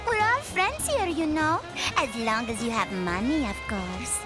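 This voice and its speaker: A woman's voice: sits high, animated, unhurried, over music. An actress playing a perky, money-minded merchant woman in a video game, with sugary, teasing sales patter. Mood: playfully pushy. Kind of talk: video game character acting.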